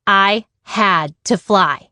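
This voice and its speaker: A woman reading a children's picture book aloud in an expressive way, acting out the dialogue. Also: medium pitch, drawn-out words, smooth, no room sound, studio quality. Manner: animated, acts out dialogue. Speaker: read-aloud storyteller.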